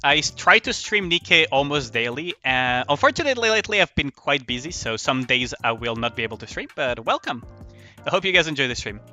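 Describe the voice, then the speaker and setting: A man chatting casually on a game livestream, telling stories in a rambling, animated way with casual swearing. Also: mid-range voice, fairly quick. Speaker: live streamer. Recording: music behind the voice.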